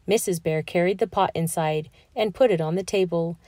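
A woman reading a children's picture book aloud in an expressive, deliberate storytelling manner. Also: on the low side, dry recording, studio quality. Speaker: storybook reader.

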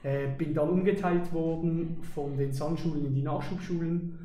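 A man's voice: a little high, steady tone, moderate pace, mellow, roomy sound, slight background noise, poor audio. Young man, many fillers. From Basel, Switzerland. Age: 20s. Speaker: interview guest, army lieutenant. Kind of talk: casual podcast interview. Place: outdoors beside an army vehicle.

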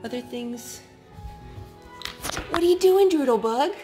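A woman speaking as a mother leading storytime at home for a small child, in an expressive, sing-song, encouraging way. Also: high-pitched, a little slow, faint music behind. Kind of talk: child-directed storytelling and chat. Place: carpeted room and wood-floored room at home.

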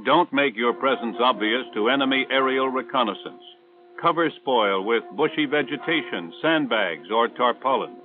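An American man reading scripted instructional narration for a 1960s military training film in a measured, authoritative way. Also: mid-range voice, soft background music. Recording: tinny band-limited 1960s film soundtrack.

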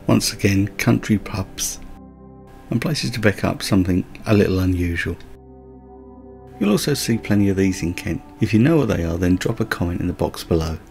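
A man's voice: low-pitched, animated, moderate pace, gravelly, darkish tone, soft background music. A man narrating a countryside road trip as a voice-over, with light chatty asides. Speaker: travel vlogger. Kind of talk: scripted travel narration.

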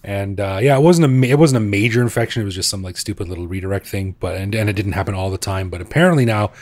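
Casual podcast host, North American man, lower voice, easygoing and jokey. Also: fast talker. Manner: relaxed, joking, laughs often. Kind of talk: casual podcast chat and interview.

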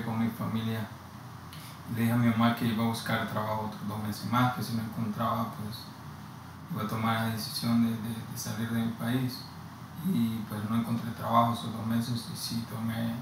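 Honduran man in a very echoey room. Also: medium pitch. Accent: Honduran Spanish. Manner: slow, halting, with frequent restarts and repeated words. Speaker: migrant giving testimony. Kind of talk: personal testimony. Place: plain indoor room against a bare wall. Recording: very echoey room, distant mic, audible hiss.

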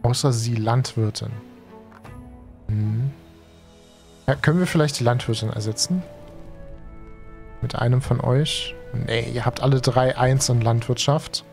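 A man's voice: medium pitch, steady tone, a little slow, vocal fry, long pauses, a little mellow, soft background music. A young man from Germany, a gaming streamer with a cold and tired, talking casually and slangily through a let's play with many fillers. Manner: chatty, slangy, thinks aloud with many fillers. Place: home streaming room. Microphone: headset.

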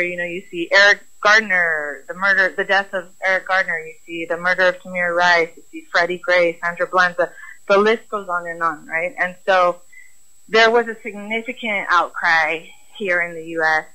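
A woman's voice: lowish voice, sharp tone, poor audio. A woman from Los Angeles, a professor speaking as a guest on a radio talk show, explaining earnestly with frequent ums. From Los Angeles, California.

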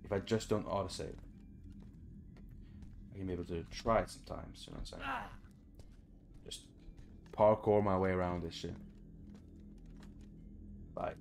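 A man's voice: sits low, vocal fry, darkish tone, a little hiss or hum. A young adult man giving casual, muttered video game commentary indoors, frustrated and swearing freely. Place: indoor room at home.

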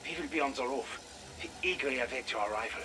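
An actor playing an earnest, grave scientist who gives a measured, formal radio message in a video game. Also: male voice, mid-range voice, background noise. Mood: measured, explanatory. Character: earnest defector scientist man, grave and idealistic.

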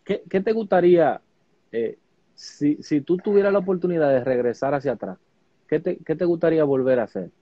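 A man's voice: fairly high pitch, fairly quick, no echo. A young man interviewing a guest over a video call, friendly and often repeating words as he starts questions. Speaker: interviewer, host. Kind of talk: casual interview. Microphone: webcam, video call. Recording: dull lo-fi video call audio.

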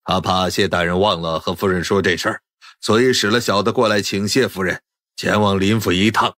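一个男演员在有声剧中扮演古代大户人家恭敬守礼的管家。. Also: male voice, deep voice, fairly even intonation, brisk, fairly bright tone, no echo, studio quality. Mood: polite, deferential. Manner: formal, deferential. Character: deferential male household steward of ancient China. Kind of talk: audiobook drama acting.

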